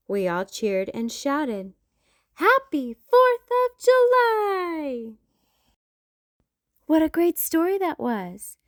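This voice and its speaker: A woman hosting a storytime, reading a rhyming picture book aloud in an expressive, sing-song way with big leaps in pitch. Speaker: children's book reader.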